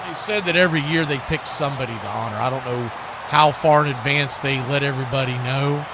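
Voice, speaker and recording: A man's voice: medium pitch, fast, vocal fry, background noise. An American man doing live football play-by-play and chat as the host of a stream, with a folksy, conversational manner.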